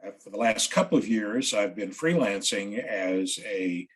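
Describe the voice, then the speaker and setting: A man from West Virginia gives his career history in a steady, orderly way. His voice is low and husky. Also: a little slow, rough recording. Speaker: guest, science writer.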